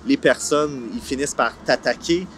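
A man's voice: mid-range voice, normal speed, a little hiss or hum. A man in his forties with a Franco-Ontarian accent, talking casually in an outdoor interview and mixing in English words. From Ottawa, Canada. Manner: colloquial, sprinkles in English words. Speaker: series creator being interviewed. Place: outdoor park film set. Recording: soft music bed under the voice.

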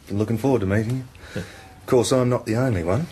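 A man's voice: mid-range voice, fast talker, mellow, close to an everyday voice. An actor playing an affable, informal male scientist in a radio drama. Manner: informal, casual. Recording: clear ambient noise behind.

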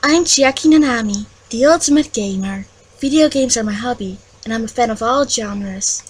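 A woman voicing a calm teenage gamer girl in a game fan dub, mild and understated. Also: fairly high pitch, moderate pace, slightly rough, low-quality recording. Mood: calm, friendly.

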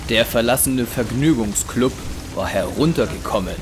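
A man's voice: medium pitch, moderate pace. A man narrating a scripted audio drama. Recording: clear music behind.

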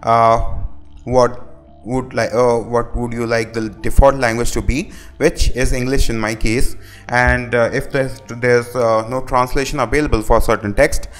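A man's voice: mid-range voice, flat delivery, soft background music. Hesitant Indian man explaining code. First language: Hindi. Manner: halting, many "uh" fillers and restarts. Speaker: web developer. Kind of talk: screen-recorded coding tutorial.

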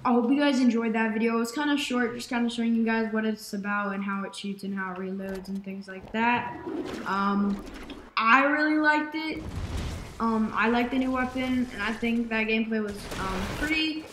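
A young woman giving casual gameplay commentary, rambling with many fillers, her voice slightly raspy from dehydration. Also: on the high side, moderate pace, reverberant, a little hiss or hum. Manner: rambling, filler-heavy, excitable. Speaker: gaming YouTuber.

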